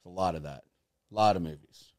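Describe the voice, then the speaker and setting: A man's voice: deep voice, brisk, a little mellow. A man in his thirties casually reminiscing as a podcast co-host. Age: 30s.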